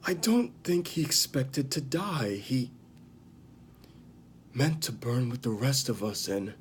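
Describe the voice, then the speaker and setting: A man playing a bitter disgraced knight confessing to killing a mad king, in a halting, dramatic amateur monologue that drops into whispers. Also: mid-range voice, lively intonation, slight background noise, pitched above the performer's own voice. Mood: haunted, bitter.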